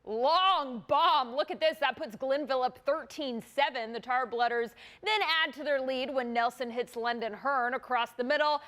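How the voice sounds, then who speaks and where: A woman sports reporter narrating game highlights with brisk, scripted play-by-play calls. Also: fairly bright tone.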